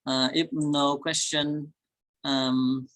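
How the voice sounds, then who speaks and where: A man's voice: on the high side, little pitch movement, very slow, fairly bright tone, poor audio. A man moderating a discussion group, speaking careful, simple non-native English.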